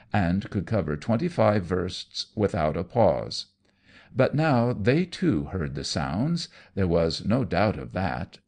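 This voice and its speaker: A man's voice: low voice, creaky, a little mellow. A man reading gothic fiction aloud in a dramatic, theatrical, suspenseful storytelling manner. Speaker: audiobook narrator.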